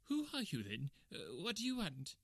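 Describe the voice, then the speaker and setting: Cringing little storybook man, high shifted pitch, thin whine, nervous quaver, hesitant and pleading. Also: measured pace, a little mellow, no echo. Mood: frightened, quavering. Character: cringing, nervous, rat-faced little man, whining and evasive.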